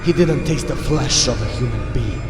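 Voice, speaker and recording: A man's voice: mid-range voice, moderate pace, recorded from a distance, natural voice. A man playing a determined, protective wasteland rescuer in a game roleplay, urgent and earnest. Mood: defiant. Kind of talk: amateur video game roleplay acting. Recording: echoey hall reverb, faint music.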